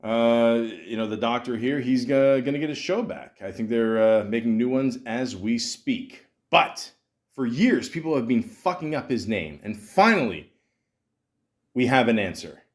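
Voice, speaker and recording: A man's voice: medium pitch, lively intonation, measured pace. A man hosting a podcast, delivering a rambling, profane comic monologue full of restarts. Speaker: podcast host. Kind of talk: casual comedic monologue.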